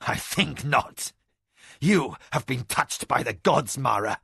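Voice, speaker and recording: A man's voice: mid-range voice, expressive, slow pace, gravelly, bright, forceful tone, slightly rough, no room sound, clean studio sound, exaggerated voice. A man doing stern commanding lords and magicians. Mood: bitter. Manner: stern, formal pronouncements. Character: stern male magicians and proud bitter male lord.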